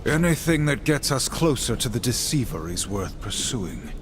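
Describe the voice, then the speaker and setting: A man's voice: medium pitch, big pitch swings, moderate pace, noisy background, lightly acted. An actor playing a stern, dutiful apocalyptic horseman in a video game, with a solemn, commanding, formal manner. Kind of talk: video game cutscene acting.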